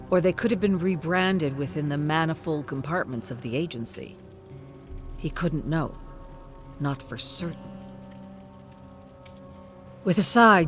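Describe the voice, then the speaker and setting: A narrator reading a suspenseful scripted story in a measured, dramatic audiobook style. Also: mid-range voice.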